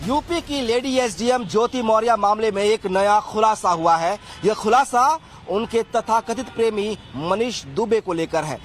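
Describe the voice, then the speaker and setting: Male field reporter, high pitch, TV report delivery over faint music. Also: a little slow, few pauses. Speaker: news reporter.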